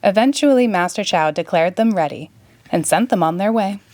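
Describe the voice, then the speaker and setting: A woman narrating a children's story in a clear, expressive read-aloud manner. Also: mid-range voice, moderate pace, no room sound. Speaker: storybook reader.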